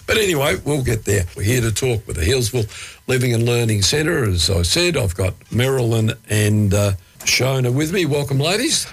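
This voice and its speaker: An Australian man hosting a community radio interview with a croaky voice, asking questions in an easygoing, chatty way. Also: medium pitch, very animated, normal speed. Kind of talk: casual interview chat.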